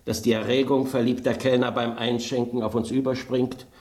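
An actor playing a vain, refined old red wine who speaks pompously and savours words, in a German radio drama. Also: male voice, medium pitch, level tone, normal speed, darkish tone, echoey room, close to an everyday voice. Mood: smug.

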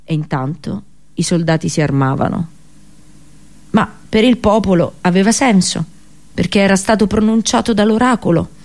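An actress playing a captive Trojan princess and prophetess in a solemn radio theatre monologue, grave and sorrowful, with literary, declamatory phrasing. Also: female voice, mid-range voice, darkish tone, close to an everyday voice. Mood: solemn, remembering.

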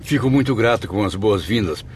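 Actor as formal, lowish-voiced male newcomer spirit. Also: lively intonation, slight background noise, lightly acted. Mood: curious. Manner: formal, deliberate. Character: grateful, curious newcomer spirit recounting his journey. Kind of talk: radio drama acting and narration. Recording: music clearly behind the voice.

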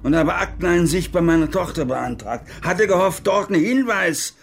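An actor in a radio drama playing a bitter, stubborn old former army major, halting and agitated, with a gravelly, husky voice. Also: male voice, high voice, expressive, full character voice. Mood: agitated, indignant. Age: older. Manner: halting, agitated, self-reproaching, with outbursts. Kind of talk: German radio crime drama acting, interview monologue.

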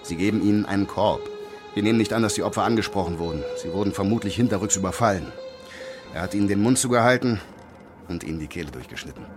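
An actor playing a gruff, commanding police inspector in a German radio drama, speaking in a terse, sardonic way. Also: male voice, fairly low pitch, animated, quick pace, close to an everyday voice. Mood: stern, commanding. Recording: music bed behind the voice.

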